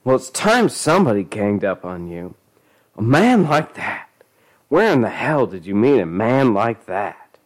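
A man's voice: lowish voice, sing-song, measured pace, voice pushed up. A man voicing an angry, overbearing middle-aged mother who scolds relentlessly in a storybook reading. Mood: accusing. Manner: scolding, indignant, forceful.

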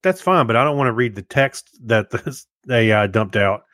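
A man's voice: medium pitch, animated, normal speed, fairly bright tone, no echo, clean studio sound. A man co-hosting a podcast in casual chat, with loose, hesitant phrasing that tends to trail off. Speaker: podcast co-host.

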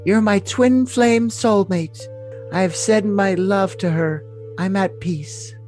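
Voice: medium pitch, slow pace, darkish tone, soft background music, natural voice. A performer voicing a sweet, submissive young woman in love, in an overly dramatic, gushing reading of messages. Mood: adoring.

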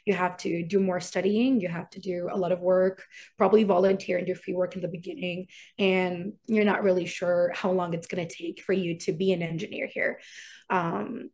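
A young woman originally from Iraq talks as a podcast guest, relaxed and chatty. She laughs often and leans on fillers as she tells her story. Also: lowish voice, moderate pace. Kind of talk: casual interview chat.

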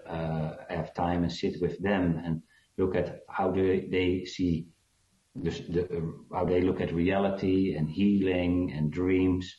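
Deep-voiced hesitant man in a roomy, dull recording. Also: mellow. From South Africa. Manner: hesitant, many fillers, reflective. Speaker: guest, author. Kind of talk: conversational interview answer.

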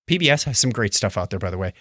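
An American man co-hosting a podcast in casual, jokey chat with an animated delivery. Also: fairly low pitch, rapid-fire, no echo, studio quality. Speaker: podcast co-host.

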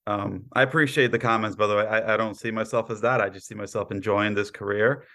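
Low, creaky-voiced American man. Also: fairly even intonation, quick pace, a bit bright. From Brooklyn, New York, born in Poland. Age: 30s. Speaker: podcast guest, cyber insurance professional. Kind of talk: conversational interview answers.

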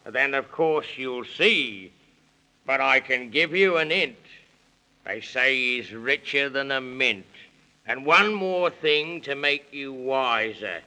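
A man's voice: medium pitch, animated, slow, sharp tone, full character voice, low-quality recording. A man voicing a cheery, encouraging British walrus in a 1930s children's radio serial, jaunty and reassuring, speaking in rhyme. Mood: advising, jaunty.

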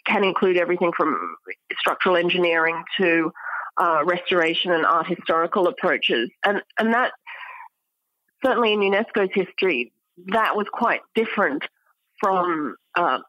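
A woman speaking as an academic guest in an interview, explaining things in a measured, scholarly manner. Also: fairly low pitch, no room sound, dull audio. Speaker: guest academic, archaeology professor.

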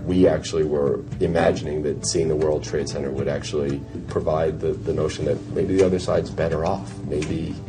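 American male, extremely deep register, explanatory interview commentary, clear music bed underneath. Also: fairly even intonation, normal speed, few pauses. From United States. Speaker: television producer interviewed about a show. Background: background music.

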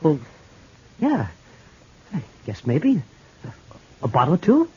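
Very raspy man, puzzled frontier barkeep, hesitant, folksy, swooping pitch, old radio drama. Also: huge pitch swings, slow, warm tone, exaggerated voice. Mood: flustered. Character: slow, bewildered small-town frontier barkeep. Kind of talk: 1950s radio Western acting. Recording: dull, muffled 1950s radio recording.

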